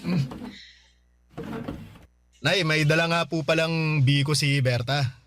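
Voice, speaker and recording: A man's voice: on the high side, steady tone, slow, fairly bright tone, no room sound, studio quality. A man playing an earnest, loyal, tender man in a Filipino radio drama. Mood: proud, eager.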